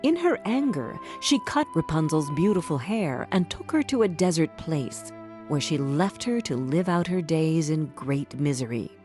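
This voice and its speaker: A woman storyteller giving scripted fairy-tale narration for children in an expressive, deliberate way. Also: low-pitched, soft background music.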